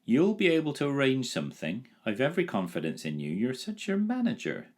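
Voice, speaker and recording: A man's voice: medium pitch, huge pitch swings, a little fast, voice pushed up. A man voicing a timid, lazy male dragon in a storybook reading, with a drawling, plaintive manner.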